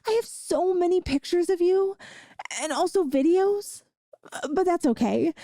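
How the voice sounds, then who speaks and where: A woman performs an adorkable yandere girlfriend with a very high, mellow voice, recorded close and dry. She is doting and possessive, rambling sweetly and earnestly as in a comedic ASMR roleplay. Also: no room sound. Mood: defensive, rambling. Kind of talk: ASMR audio roleplay, comedic monologue to listener.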